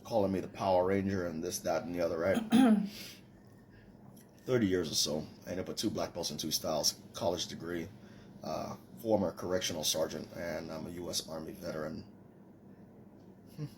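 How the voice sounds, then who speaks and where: Boastful deep-voiced Trinidadian man in his forties. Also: animated, normal speed, long pauses, a little mellow, slight background noise. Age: 40s. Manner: boastful, mocking, scolding. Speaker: commenter in a stitched video. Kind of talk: casual rant to camera.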